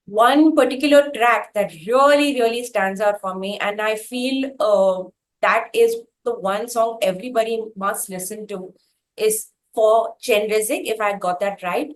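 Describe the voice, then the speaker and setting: A woman hosting a conversational interview, speaking effusively in halting phrases with repeated words for emphasis. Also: medium pitch, poor audio. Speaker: interviewer, host.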